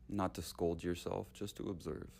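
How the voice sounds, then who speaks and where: A man leading a guided meditation in short, unhurried, soothing phrases with long gaps between them. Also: deep voice, little pitch movement, gravelly, darkish tone, slight background noise.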